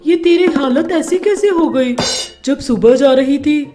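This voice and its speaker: Woman putting on a loud, blustering village husband. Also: high voice, a little slow. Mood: startled. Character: blustering, gruff village husband in a storybook tale. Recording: music bed under the voice.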